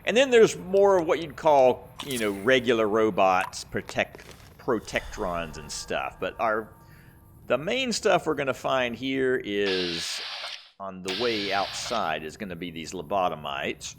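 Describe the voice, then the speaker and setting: A man hosts a gameplay video in a fairly low voice with animated swings in pitch. He rambles casually, thinking aloud as he plays. There is faint noise and game ambience behind him. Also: a little slow. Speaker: gaming channel host.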